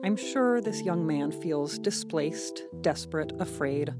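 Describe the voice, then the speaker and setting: Female essay reader, deep register, measured and earnest, prominent plucked guitar underneath. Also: lively intonation. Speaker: guest writer and therapist. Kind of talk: reading own written post aloud. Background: prominent plucked guitar music.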